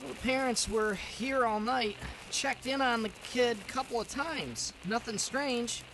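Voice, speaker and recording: A woman's voice: on the high side, a little slow, a bit bright, rough recording. Woman acting matter-of-fact officer, faint hiss. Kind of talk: old-time radio acting.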